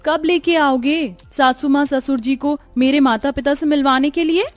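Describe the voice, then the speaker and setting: A woman voicing a young, gentle but anxious bride in a cartoon story, polite and a little flustered. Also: high-pitched, moderate pace, faint music behind, voice pushed up, close to an everyday voice. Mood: playful nagging.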